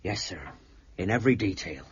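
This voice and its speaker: An actor playing a troubled, plain-spoken sailor in an audio drama, guarded and respectful. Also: male voice, low voice, expressive, fairly quick. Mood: troubled, resigned. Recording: muffled, noisy lo-fi audio.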